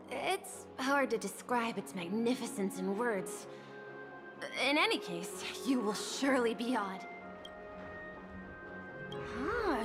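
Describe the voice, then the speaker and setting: A woman playing a courteous, earnest, slightly hesitant young hotel bellboy in a video game. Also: sits high. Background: game music.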